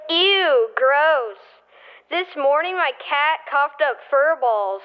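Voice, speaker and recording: Mischievous young kid for radio play played by a female, high voice, muffled voicemail recording. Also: expressive, slow. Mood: playful. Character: giggly young kid sharing gross-out stories. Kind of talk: scripted radio play acting. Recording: dull lo-fi voicemail.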